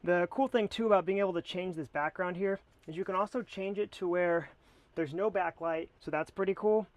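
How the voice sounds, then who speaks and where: A man's voice: high-pitched, a little fast, no room sound. An American man talking casually to camera as he reviews a product.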